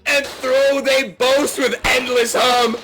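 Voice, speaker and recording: A man loudly declaiming mock-heroic slam poetry in drawn-out lines, shouting it out during an online game. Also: very high-pitched, level tone, slight background noise. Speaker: video game player reciting a mock poem. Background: loud music.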